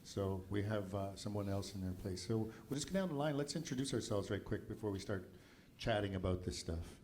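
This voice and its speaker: A man's voice: lowish voice, expressive, brisk, darkish tone, a little hiss or hum. A man from Nova Scotia moderating a film festival panel in a loose, chatty way with many fillers. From Nova Scotia, Canada.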